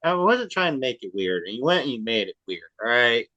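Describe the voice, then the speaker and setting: A man's voice: medium pitch, big pitch swings, a little fast, sharp tone, no echo, highs cut off. A man co-hosting a gaming podcast in casual chat, laid-back and laughing often. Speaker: podcast co-host.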